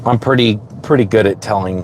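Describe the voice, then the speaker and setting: Low-voiced Florida man, relaxed rambling streamer chat from a moving car, heavy road noise. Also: a little fast. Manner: rambling, easygoing, chuckles often. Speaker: streamer searching roads for snakes. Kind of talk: casual live chat while driving, reading viewer comments. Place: inside a car on roads at night.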